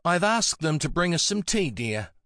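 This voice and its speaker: A man voicing a kindly, affectionate elderly woman with a soft Antipodean accent and a fond, coaxing manner. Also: fairly high pitch, sing-song, moderate pace, no echo, studio quality, pitched above the performer's own voice. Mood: fond, chatty.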